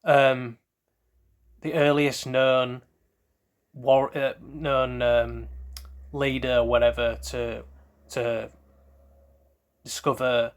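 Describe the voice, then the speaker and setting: A man hosting a casual trivia quiz, reading out questions and then rambling loosely through hesitant asides about the answers. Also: mid-range voice. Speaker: quiz host.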